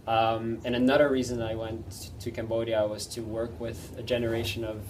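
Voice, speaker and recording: A Dutch man in his thirties talks through his past in a loose, halting way, often pausing on ums and laughing at himself. He sounds a little away from the microphone. A faint hum of room noise sits under him. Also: mid-range voice, level tone, recorded from a distance. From Netherlands. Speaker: interview guest. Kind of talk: casual interview chat.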